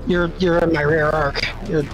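Guy with a highish voice playing a tabletop game, casual, music going in the background. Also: flat delivery, normal speed.

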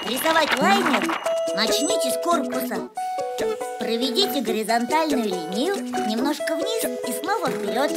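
A performer voicing a cheerful, helpful little boy rabbit who explains drawing clearly in a children's cartoon, in a friendly, instructive way. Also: sits high, very animated, normal speed. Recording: loud music behind.

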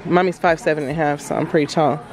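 A woman's voice: sits low, fairly even intonation, fast talker, crowd noise. A woman in her thirties vlogging casually, talking directly and familiarly to her viewers. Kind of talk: casual chatty vlog. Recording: music behind the voice. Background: background music.

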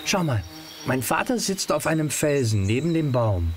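A man's voice: fairly high pitch, sing-song, moderate pace, slight background noise. A man playing an eager, insistent teenage boy in an audio drama, speaking in a casual, pressing way.

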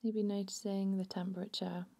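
A woman narrating a guided body scan meditation in a soothing, unhurried, hushed manner. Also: medium pitch, level tone, creaky.

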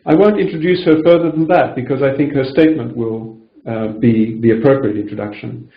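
Male moderator, mid pitch, formal and hesitant, meeting room speakerphone, dull roomy sound. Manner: measured, formal, hesitant with fillers. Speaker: webinar moderator. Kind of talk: moderating a webinar. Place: meeting room with a conference table.

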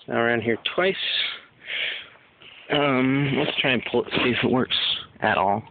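A man giving a casual, unscripted demonstration, thinking aloud in a hesitant, halting way, recorded outdoors on a handheld camera. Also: medium pitch, gravelly, phone-quality sound. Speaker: survival hobbyist demonstrating knots. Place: outdoors under trees at picnic table. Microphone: handheld camera held by the speaker. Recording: noisy outdoor handheld camera, clicks and rustle.

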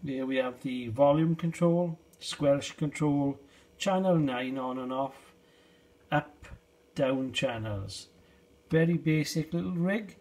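A middle-aged British man giving a casual, unhurried and low-key hobbyist demonstration in a conversational way. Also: darkish tone. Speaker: hobbyist video host. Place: small indoor space, shed.

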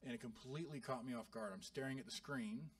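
A male pastor in his thirties giving an animated, joking sermon to his congregation. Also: medium pitch, quick pace, dry recording, distant mic. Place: church sanctuary.

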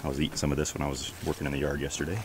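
Very deep-voiced man touring his garden. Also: racing, noisy background. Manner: relaxed, chatty, points things out while walking. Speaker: homestead vlogger. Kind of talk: casual garden walkthrough. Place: backyard garden outdoors. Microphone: handheld camera held while walking.

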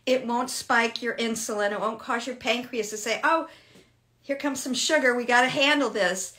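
A woman in her sixties answering fitness questions in a chatty, animated way during a casual live Q&A from a home room. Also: on the high side, moderate pace. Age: 60s. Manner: chatty, animated, laughs often. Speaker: fitness host. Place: home room with shelves, indoors.

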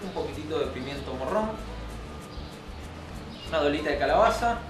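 A man's voice: on the high side, animated, normal speed, noisy background. A man in his thirties with an Argentine accent, explaining steps as a chef in a cooking demonstration. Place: winery kitchen and open-air grill patio. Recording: roomy, distant mic, music behind.

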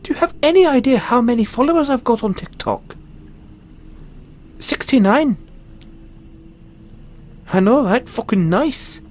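A man's voice: extremely high pitch, animated, a little slow, pauses often, slight background noise. A man with a British accent playing a cocky, foul-mouthed, stammering Dullahan social media wannabe in a comedic audio roleplay. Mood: outraged, boastful. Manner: stammering, sweary, boastful.

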